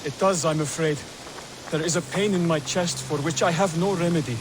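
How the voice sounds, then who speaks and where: Male actor as earnest young assassin acolyte, highish voice, measured, courteous, solemn, game drama, noisy ambience behind. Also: lightly acted. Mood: measured, weary. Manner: formal, measured, solemn speech. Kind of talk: dramatic video game acting.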